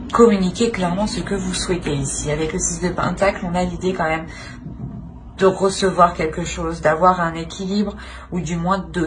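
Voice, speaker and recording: A woman giving an unscripted tarot reading to viewers, feeling her way through the meaning by repeating and rephrasing. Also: lowish voice. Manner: hesitant, searching, repeats and rephrases phrases. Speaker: tarot reader, channel host. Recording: clear background noise.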